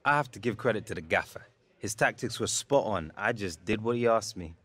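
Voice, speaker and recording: A young British footballer in a video game drama. He is modest and guarded, with a fairly low, natural voice. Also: animated, brisk, fairly bright tone. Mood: modest, polite. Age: young adult.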